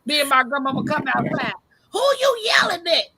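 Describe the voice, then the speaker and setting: A woman hosting a casual live-stream chat, talking loudly and laughing often as she tells stories. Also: moderate pace, a little hiss or hum. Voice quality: says she has a deep voice. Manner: loud, animated storyteller who laughs often. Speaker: livestream host.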